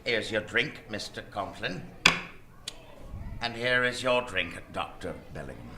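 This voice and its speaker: A man's voice: fairly low pitch, animated, measured pace. A man playing an eager, bumbling, servile henchman to a mad doctor in a live radio play. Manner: obsequious, flustered, over-eager. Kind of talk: live radio drama acting. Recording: live stage recording with background noise.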